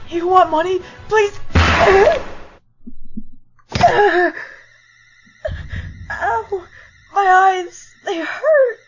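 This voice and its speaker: Woman as a young dog monster bully, high voice, brash, cartoon dub, dull lo-fi recording. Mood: pleading, terrified. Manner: sneering, brash. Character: cocky young bully dog monster who turns cowardly. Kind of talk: animated comic dub acting. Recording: muffled lo-fi audio under music.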